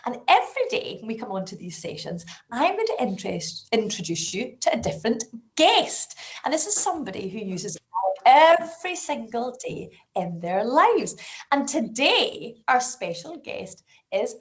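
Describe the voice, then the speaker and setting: A woman's voice: a little high, big pitch swings, normal speed. A Scottish woman hosting a live online lesson for young schoolchildren, upbeat and encouraging. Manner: upbeat, encouraging, sings call-and-response phrases. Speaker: festival director hosting a school session. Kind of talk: lively children's lesson presenting. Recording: lo-fi video call audio.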